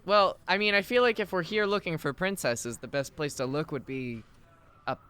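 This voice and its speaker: A woman's voice: low-pitched, normal speed, a bit bright, smooth. A woman improvising in-character comedy banter in a story, with faint noise and clicks behind.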